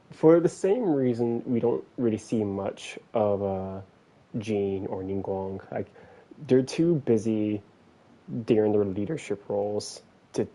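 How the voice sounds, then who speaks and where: A man's voice: on the low side, dark tone. A man doing casual game commentary as a streamer, musing aloud in a dry, hesitant way. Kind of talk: casual playthrough commentary, reading some lines aloud. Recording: game music behind.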